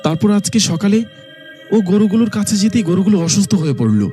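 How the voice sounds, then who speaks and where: A man's voice: sits high, expressive, fairly quick, warm tone, faint music behind. A man voicing an anxious, earnest young village son who narrates and acts in a horror cartoon. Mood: urgent, pleading. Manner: earnest, pleading.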